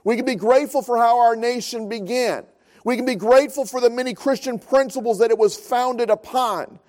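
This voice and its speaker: A man's voice: very high voice, a little fast, a little mellow. A man preaching a sermon as pastor, telling a story and then building earnest, repeated points.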